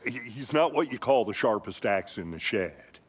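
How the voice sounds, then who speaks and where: A man's voice: medium pitch, lively intonation, moderate pace, a little hiss or hum, lightly acted. A man playing an awkward, eager-to-please small-town sheriff's deputy in a video game, hesitant, rambling and apologetic. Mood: folksy, awkward. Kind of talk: scripted game dialogue.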